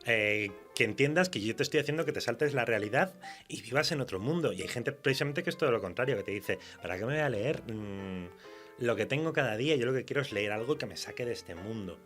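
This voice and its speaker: Informal Spanish man with a mid-pitched voice. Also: lively intonation, fast, a bit bright, soft background music. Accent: Spain Spanish. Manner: informal, laughs often, opinionated. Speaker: guest writer of horror fiction. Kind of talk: casual chat in a radio interview.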